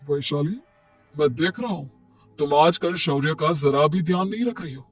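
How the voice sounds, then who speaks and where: A man's voice: fairly high pitch, normal speed, husky, no echo, far from the mic, faint music behind. A man voicing a devoted young father, an earnest and stubborn husband in a cartoon moral story. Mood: stern, reproachful.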